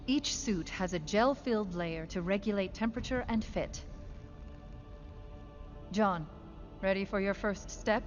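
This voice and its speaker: A woman's voice: mid-range voice, soft background music, natural voice. Woman acting composed, clinical military scientist, dull sound. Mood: careful, instructing. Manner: measured, precise, authoritative. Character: composed, clinical female military scientist briefing her soldiers. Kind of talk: animated sci-fi film acting.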